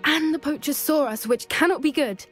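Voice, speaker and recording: A woman's voice: high-pitched, moderate pace, a bit bright, soft background music, pitched above the performer's own voice. A woman voicing a student's inner monologue in a video game, musing uneasily. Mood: uneasy.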